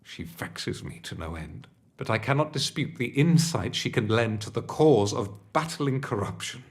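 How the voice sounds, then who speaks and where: An actor playing a stern, dutiful military commander in a video game, speaking in a formal, grave, deliberate manner. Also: male voice, mid-range voice, animated, natural voice. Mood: troubled, grudging. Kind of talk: video game character acting.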